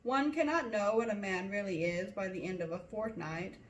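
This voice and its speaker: A woman voicing a sarcastic middle-aged father in a storybook reading, measured, wry and mock-polite. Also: medium pitch, reverberant, far from the mic, lightly acted, low-quality recording.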